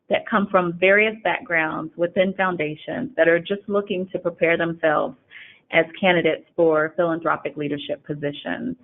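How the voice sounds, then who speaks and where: Woman hosting over a thin phone line. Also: lowish voice. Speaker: host and programme director. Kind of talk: semi-scripted webinar hosting.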